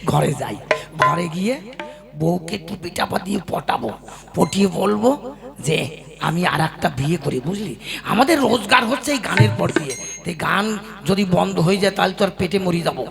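Man acting a gravelly, wheedling old husband in puppet comedy. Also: sits high, expressive, a little fast, music in the background, heavily acted. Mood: scheming. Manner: coaxing, exaggerated sweet-talk with folksy exclamations. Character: wheedling ageing husband, scheming singing-troupe master. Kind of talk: comic folk puppet-play acting.

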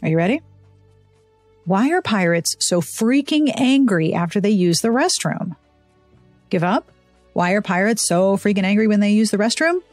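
A woman with a mid-pitched voice hosts a podcast in a casual, chatty style. The sound is dry, with a touch of music at times. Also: animated, moderate pace, pauses often, a little mellow, no echo. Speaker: podcast host.

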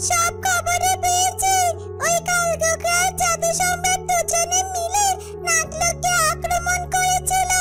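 An extremely high, squeaky little talking bird, loyal and earnest, from a fairy-tale cartoon. The pitch stays narrow and chirpy, with loud music underneath. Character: small talking yellow bird, loyal, anxious messenger.

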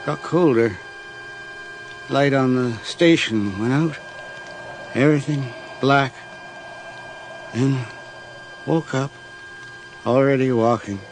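An actor playing a dazed, grim man walking after death, speaking in halting fragments in a radio horror drama. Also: medium pitch, natural voice. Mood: haunted, resigned. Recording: noisy 1980s radio recording.

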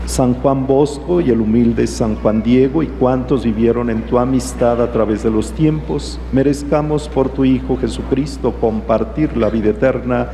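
Older male concelebrant, warm dark timbre, formal prayer reading, reverberant hall with faint noise. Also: medium pitch, normal speed, gravelly, hardly any pauses. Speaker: concelebrating priest. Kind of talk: liturgical prayer read from a book. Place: large church sanctuary.

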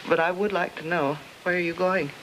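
A woman's voice: on the low side, fairly even intonation, a little fast, natural voice. A middle-aged woman playing an anxious, polite suburban mother and wife in a 1950s film, genteel in manner. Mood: careful, polite. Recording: noisy old film soundtrack.

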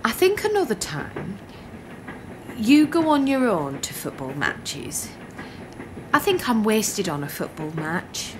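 A woman's voice: mid-range voice, sing-song, slow. A woman playing a bemused, blunt girlfriend in a radio play, questioning in a matter-of-fact way. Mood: frank, coaxing. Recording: faint train noise behind.

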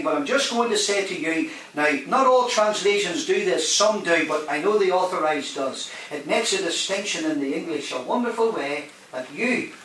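Echoey preacher in a hall. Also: male voice, sits high, fairly bright tone. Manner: emphatic, rising and dropping for effect, questions the congregation. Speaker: guest preacher. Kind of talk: sermon. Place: large hall. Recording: very echoey hall, mic at a distance, faint hiss.

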